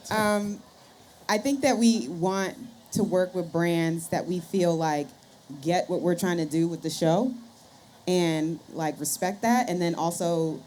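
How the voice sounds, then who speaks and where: Low, mellow-voiced woman on a panel. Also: a little hiss or hum. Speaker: podcast host as panelist. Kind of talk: conversational panel talk.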